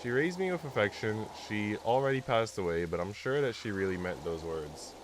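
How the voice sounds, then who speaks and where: Man, low voice, congested, informal game streamer, reads story text aloud, rambling slangy asides, clear rain-like noise behind. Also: lively intonation, normal speed. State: congested with a cold. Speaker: live streamer playing a visual novel. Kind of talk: casual livestream chat mixed with reading game narration aloud.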